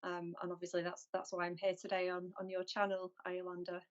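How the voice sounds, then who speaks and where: A woman from North West England, a guest on a video call interview, speaking in an earnest, measured way. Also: lowish voice, completely flat delivery. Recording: lo-fi call audio.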